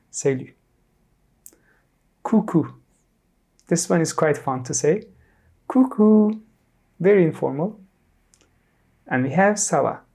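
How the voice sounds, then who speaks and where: A teacher in their thirties from Turkey, leading a repeat-after-me lesson and saying each word clearly, then again. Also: medium pitch, dark tone. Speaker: French teacher.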